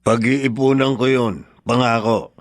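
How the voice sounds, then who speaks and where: A man's voice: mid-range voice, fairly even intonation, a little slow, no room sound, natural voice. Man playing middle-aged Filipino father, working class, hot-tempered yet tender, radio drama, dry close sound. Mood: tender, apologetic. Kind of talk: Tagalog radio drama acting.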